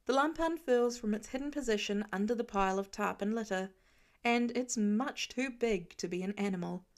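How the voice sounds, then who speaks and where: A woman narrating a fan fiction story aloud with expressive storytelling. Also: mid-range voice, moderate pace. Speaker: podfic reader.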